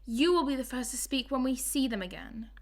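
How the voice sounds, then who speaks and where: A woman playing a cheeky, excitable young village girl in a wartime audio drama, teasing and dramatic. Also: high voice, fairly quick. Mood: teasing, excited.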